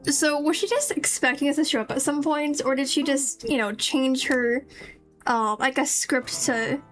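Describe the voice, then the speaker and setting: A woman's voice: high voice, moderate pace, fairly bright tone, soft background music. A woman streamer giving casual running commentary on a game. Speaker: live streamer, VTuber.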